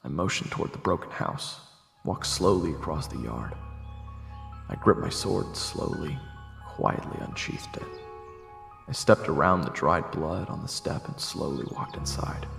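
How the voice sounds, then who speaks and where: A man's voice: extremely low pitch, unhurried, vocal fry, fairly bright tone. A man reading scripted first-person story narration for a fantasy audio drama. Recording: echoey, long reverb, faint music behind. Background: music.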